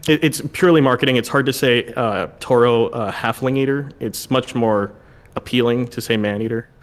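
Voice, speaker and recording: A man's voice: medium pitch, moderate pace. A man playing a tabletop game, joking casually with dry, mock-earnest humour.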